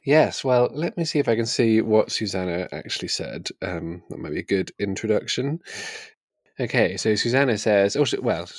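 A man with a fairly low voice chats about books as a podcast co-host. He talks casually and tentatively, often correcting himself mid-sentence. The sound is close and dry, with very little room around it. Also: lively intonation, normal speed, no echo. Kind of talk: casual book chat.

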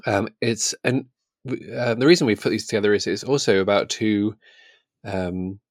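A man's voice: lowish voice, steady tone, moderate pace, no echo, studio quality. A man co-hosting a podcast, discussing books casually with informal, hesitant phrasing. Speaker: podcast co-host.

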